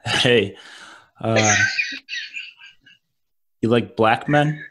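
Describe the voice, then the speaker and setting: A young man with a deep baritone voice, teasing and deadpan in casual podcast banter, laughing often. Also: very slow, poor audio. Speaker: podcast co-host.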